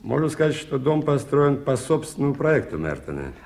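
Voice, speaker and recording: An actor playing a polite, well-informed gentleman in a Soviet radio play, speaking in a measured, explanatory way. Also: mid-range voice, big pitch swings, gravelly. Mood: earnest, explanatory. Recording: noisy 1980s radio play recording.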